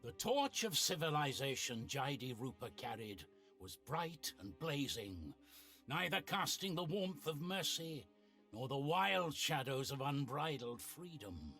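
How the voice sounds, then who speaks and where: Wry chronicler, lively swooping intonation, bright forceful voice, scripted game narration, music behind. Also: male voice, mid-range voice, unhurried. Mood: grave, dramatic. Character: male storyteller chronicler, wry and theatrical.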